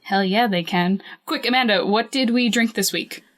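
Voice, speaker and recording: A woman's voice: mid-range voice, moderate pace, a bit bright. A woman co-hosting a podcast with casual, slangy banter. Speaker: podcast co-host.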